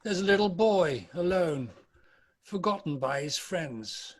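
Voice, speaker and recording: Man acting old miser, high-pitched voice, frightened, stubborn, amateur drama table read. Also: animated, takes it slowly. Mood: shaken, wistful.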